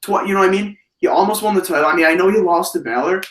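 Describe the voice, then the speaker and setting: A man with a hoarse voice hosting a casual wrestling review, talking in an animated, rambling way with many fillers and restarts. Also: sits high, very fast, roomy sound, recorded from a distance. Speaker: wrestling podcast host.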